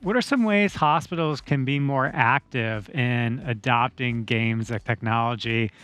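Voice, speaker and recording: A man hosting an interview, reading a scripted introduction and questions in a measured way. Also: medium pitch, fairly bright tone, clear, resonant tone. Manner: measured, read-from-script delivery. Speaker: interview host. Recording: dry close mic with faint music.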